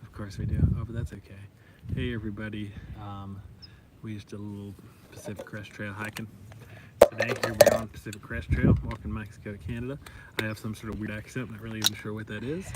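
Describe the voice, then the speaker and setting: A man's voice: on the low side, flat delivery, fast. A man vlogging from a long-distance hiking trip, chatting casually with lots of ums and likes. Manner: rambling, many ums and likes. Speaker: vlogger, trail hiker. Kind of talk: casual vlog chat. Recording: distant mic, loud outdoor noise.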